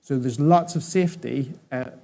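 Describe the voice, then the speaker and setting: Halting, mellow-voiced man presenting. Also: mid-range voice, vocal fry. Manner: halting, restarts words and phrases often. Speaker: technology executive presenting at a conference. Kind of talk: conference presentation with audience questions.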